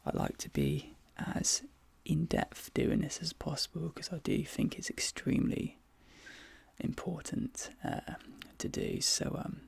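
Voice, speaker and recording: A man softly whispering and murmuring in a soothing, reassuring ASMR dentist roleplay, with breathy delivery and hesitant pauses, close to the microphone. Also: fairly high pitch, wildly swooping pitch, no room sound. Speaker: ASMR performer role-playing a dentist. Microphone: close microphone, dry.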